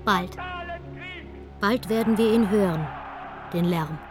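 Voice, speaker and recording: A woman narrates a radio drama with faint music behind her. Her delivery is scripted, poetic and dramatic, at an average pitch. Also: takes it slowly.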